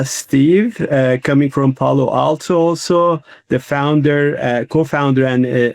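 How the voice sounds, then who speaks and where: A man's voice: mid-range voice, a little mellow, no echo. A man moderating a live investor panel, introducing speakers with many fillers and restarts.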